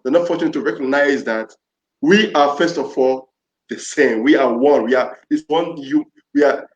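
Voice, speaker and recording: A man's voice: mid-range voice, normal speed. A man from Nigeria leading a panel discussion, speaking earnestly and often repeating and restarting his phrases. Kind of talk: reflective panel talk. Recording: roomy, dull lo-fi audio.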